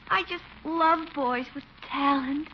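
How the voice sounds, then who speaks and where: A woman's voice: sits high, takes it slowly. A woman playing a sweet, coy, gushing little girl in old-time radio comedy style. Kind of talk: 1940s radio comedy acting. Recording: muffled, noisy old radio recording.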